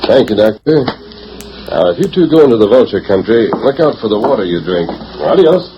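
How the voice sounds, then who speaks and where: An actor playing a bold, watchful western stranger, deliberate and quietly menacing, in a 1940s radio drama style. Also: male voice, lowish voice, sing-song. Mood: cool, assured. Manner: deliberate, probing, self-assured. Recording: muffled, slightly noisy 1940s radio recording.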